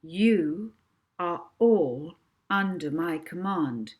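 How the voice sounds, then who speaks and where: A woman's voice: lowish voice, animated, drawn-out words. A woman voicing a confident young girl stage hypnotist in a storybook, theatrical and commanding.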